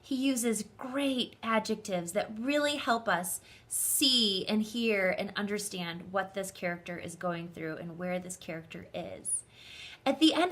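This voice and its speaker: A woman speaking as a teacher in an instructive, expressive children's read-aloud style, enunciating clearly. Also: medium pitch.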